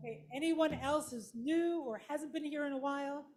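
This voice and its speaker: Chatty high-voiced woman greeting a congregation. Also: moderate pace, darkish tone. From Brooklyn, New York. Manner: chatty, informal, greets people by name. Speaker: service leader. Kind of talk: casual welcoming of a congregation. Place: prayer hall with attendees and online viewers.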